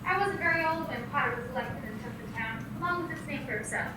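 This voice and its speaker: A woman's voice: high voice, quick pace, lightly acted. A woman playing Mary, a wary young woman. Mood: guarded. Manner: guarded, then screaming in fear. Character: wary young woman Mary, library worker, easily frightened. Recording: echoey hall recording from far off, noisy.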